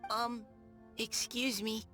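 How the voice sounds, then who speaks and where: A woman's voice: on the high side, little pitch movement, takes it slowly, sharp tone, faint music behind. A woman voicing a shy, apologetic young woman who speaks hesitantly in a video game. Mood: nervous, curious. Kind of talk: scripted game voice acting.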